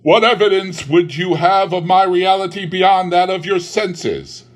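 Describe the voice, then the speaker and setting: Brief: male reader as a doomed old ghost for a storybook, booming and drawn out. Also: a little slow. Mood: solemn. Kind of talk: audiobook character voice.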